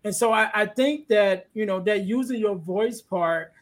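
A man with a light, high-pitched voice hosts a podcast in a casual, conversational way. He feels his way through sentences, stopping to hunt for the right word and dropping in "you know" often. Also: a little slow. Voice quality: light, soft-toned voice. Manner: hesitant, searching for words, often says "you know". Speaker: podcast host.

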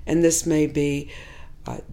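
A middle-aged woman with a hoarse voice, giving a measured instructional talk to teachers, pausing now and then. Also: low voice, level tone, warm tone. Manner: measured, reflective, with pauses and fillers. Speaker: teacher educator.

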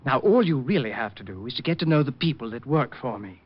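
A man's voice: medium pitch, lively intonation, fast, natural voice. A man playing a fussy, catty male hat designer in a 1950s radio drama, with a theatrical, snippy delivery. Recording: dull lo-fi old radio broadcast.

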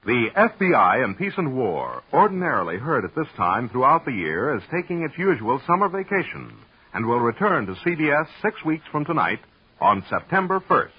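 A man's voice: medium pitch, sing-song, moderate pace, husky. An American man announcing programs in a formal old-time radio style. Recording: dull old radio recording, faint music.

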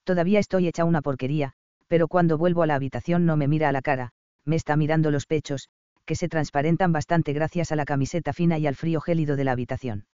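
A man narrating a first-person romance audiobook in a calm, even storytelling manner, in a slightly dull, dry recording. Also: on the high side, fast, clean tone, no echo.

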